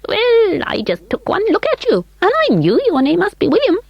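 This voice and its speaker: A woman voicing a plump little elfin man with a high little voice, sly and chuckling in a storybook reading. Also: huge pitch swings, creaky, no echo, dull audio, full character voice. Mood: teasing. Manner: teasing, quick chuckling.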